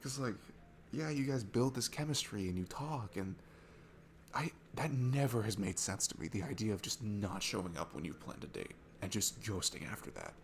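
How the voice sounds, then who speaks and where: Man acting a low, gravelly, shy young guy. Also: expressive, slight background noise. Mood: indignant, disbelieving. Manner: awkward, halting, stammering, earnest. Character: shy, sweet, nervous young man at a bar. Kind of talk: ASMR roleplay audio, casual intimate chat. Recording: music behind the voice. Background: music.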